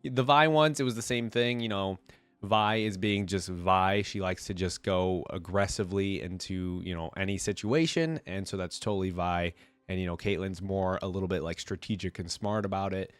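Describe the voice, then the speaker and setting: A man with a fairly low voice talks through his nose, stuffed up with a cold. He rambles casually like a fan hosting a reaction video, hedging as he goes, in a dry recording with little room sound. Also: normal speed, smooth, no echo, soft background music. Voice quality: nasal. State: congested with a cold.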